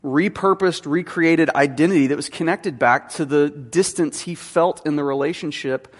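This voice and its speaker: A man's voice: fairly high pitch, normal speed. A man in his thirties, a teaching pastor giving a conversational sermon with frequent fillers, in a church sanctuary. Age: 30s. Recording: long hall reverb.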